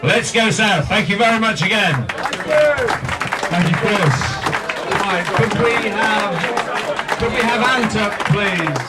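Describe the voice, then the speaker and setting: Male club chairman, brisk compère, high voice, distant pickup, heavy crowd noise. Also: lively intonation. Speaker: club chairman, master of ceremonies. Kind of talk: post-match clubhouse speech. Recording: far-off mic amid a very loud crowd.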